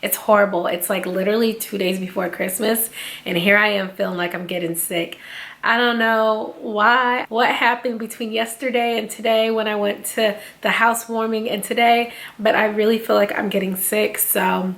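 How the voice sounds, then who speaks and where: A woman's voice: medium pitch, fairly even intonation, normal speed, fairly bright tone. Chatty young woman vlogger, slangy. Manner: chatty and slangy, addresses viewers as sis and y'all. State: feeling sick, voice slightly off. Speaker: beauty YouTuber reviewing a wig. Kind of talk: casual product-review vlog.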